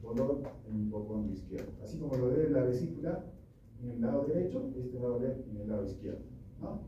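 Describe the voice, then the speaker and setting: A man's voice: mid-range voice, animated, fast, warm tone, dull audio. A man giving an informal medical lecture, talking conversationally and often checking in with his listeners. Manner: conversational, keeps asking "¿no?" and "¿ya?". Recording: echoey room from far off, noisy.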